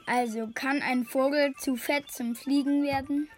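A woman's voice: sits high, fairly even intonation, a little slow. A woman interviewer putting short, simple questions to a guest. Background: music.

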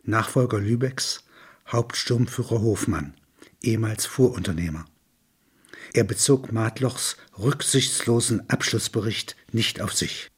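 A man's voice: fairly low pitch, a little slow, breathy edge. A man narrating a scripted literary text in documentary style.

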